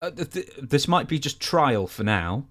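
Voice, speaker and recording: A man's voice: mid-range voice, sing-song, moderate pace, a bit bright. A British man co-hosting a podcast, chatting and reading plugs in a rambling way with dry, self-deprecating humour. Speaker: podcast co-host. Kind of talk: casual chat, reading plugs.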